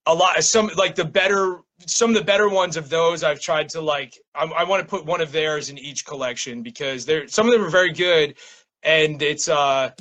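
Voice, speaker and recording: Male, higher-pitched and bright, casual interview guest with a loose, meandering delivery. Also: moderate pace. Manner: rambling, self-interrupting, frequent "like". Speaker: interview guest, poet and small-press publisher.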